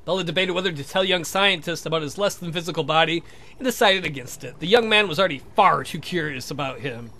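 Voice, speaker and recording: A man hosting a let's play, narrating a visual novel aloud in an expressive, jokey way. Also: fairly high pitch, fast talker.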